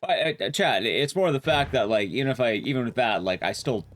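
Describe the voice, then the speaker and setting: A young man with a loud, carrying voice chatting casually with his viewers while streaming a game, rambling and restarting sentences as he goes. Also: brisk. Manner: rambling, restarts sentences, lively rises and drops in pitch. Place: his room at home, soundproofed.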